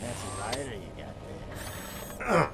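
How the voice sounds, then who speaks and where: A man's voice: mid-range voice, huge pitch swings, a little slow, creaky, heavy background noise, full character voice. An actor playing a cocky, foul-mouthed, theatrical gang showman in a video game, taunting with swagger over music. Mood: smug taunting. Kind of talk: video game cutscene acting.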